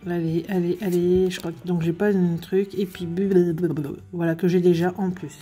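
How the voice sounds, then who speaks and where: A woman's voice: fairly low pitch, little pitch movement, normal speed, mellow, faint music behind. A woman hosting a casual card-opening video, chatting and chuckling as she comments on each card she pulls. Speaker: card-opening channel host. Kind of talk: casual chat while opening card packs. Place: indoors at a table covered in cards.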